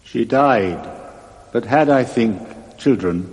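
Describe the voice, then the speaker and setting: A solemn male ghost speaks in an old radio play. He is measured and gently probing, at an ordinary male pitch. His voice hangs in a long, eerie reverb. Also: lively intonation. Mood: solemn, gentle. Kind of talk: 1960s radio drama acting.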